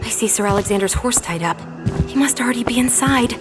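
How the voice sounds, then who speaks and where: A young female adventurer with a sharp tongue and little patience played by a woman. She scolds sarcastically in a high-set voice. Music is clearly heard behind this fantasy audio drama acting. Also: fast talker, natural voice. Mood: exasperated.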